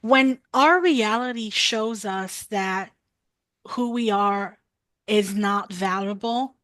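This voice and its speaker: Slow, hesitant French-accented woman. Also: mid-range voice, fairly bright tone, no echo. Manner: hesitant, searching for words. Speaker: coaching participant.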